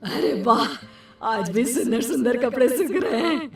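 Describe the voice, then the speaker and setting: High, cackling vain witch. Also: animated, creaky, a little mellow, distant mic, soft background music. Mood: gleeful, gloating. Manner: theatrical, gloating, drawn-out delivery with cackles. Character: vain, spiteful, thieving female river witch. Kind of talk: Hindi horror-comedy cartoon story acting.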